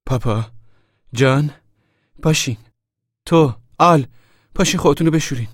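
A man's voice: fairly low pitch, flat delivery, slightly rough, no room sound, whispered, clean studio sound. A man voicing a hard-working, anxious and bossy mother in an audiobook story, speaking in plain, urgent colloquial lines. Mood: urgent, commanding.

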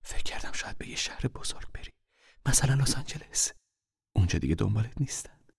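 A man voicing an older mother who fears for her fugitive son, speaking in a hushed, anxious whisper. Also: on the low side, creaky, husky, no echo, studio quality, full character voice. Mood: pleading whisper. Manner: anxious, pleading, protective.

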